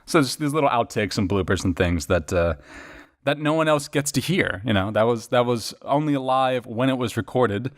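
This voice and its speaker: A man's voice: medium pitch, animated, normal speed. A man who works as a voice actor and editor on a podcast, chatting casually and reflectively in a rambling storyteller's way. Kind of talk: casual reflective chat about acting work.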